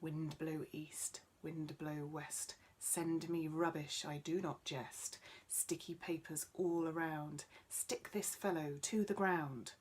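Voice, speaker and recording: A woman's voice: low voice, fairly even intonation, slow pace, few pauses, slight background noise. A woman voicing a male talking magic tree in a children's story, wise and bossy yet kindly, with an instructive manner. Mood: chanting. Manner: chanting rhymes, instructive.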